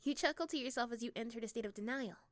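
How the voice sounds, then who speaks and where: Female narrator, high-pitched, for second-person ASMR storytelling. Close, dry recording. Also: rapid-fire, dry recording. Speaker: narrator and voice performer. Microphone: close microphone.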